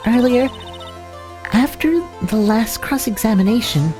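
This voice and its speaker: A woman voicing a confident, commanding female defense mentor in a courtroom video game, assured and deliberate. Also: on the high side, animated, over music. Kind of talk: video game voice-over, read live.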